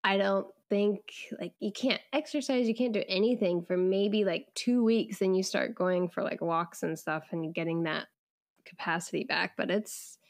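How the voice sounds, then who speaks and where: A woman chatting casually as a podcast co-host, reacting with quick interjections. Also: medium pitch. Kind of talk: casual chat.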